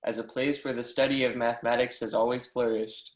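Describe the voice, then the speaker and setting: A man's voice: medium pitch, flat delivery, brisk, lightly acted. A man playing an eager, deferential young mathematics professor in a stage play reading, earnest, effusive and formally polite. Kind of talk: readers theatre performance of a history play. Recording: echoey room.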